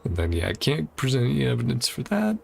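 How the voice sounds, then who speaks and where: A man with a heavily creaky voice streams a game, reading the dialogue aloud. His pitch moves around a lot as he slips between reading and casual muttered commentary. Game music plays behind him. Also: wildly swooping pitch, fast talker. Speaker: streamer playing and reacting to a game.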